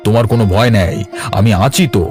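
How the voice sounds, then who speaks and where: A man's voice: fairly low pitch, fast, natural voice. A man playing a sinister, vengeful grandfather who can feign kindness in a cartoon story. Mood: coaxing, kindly. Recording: music under the voice.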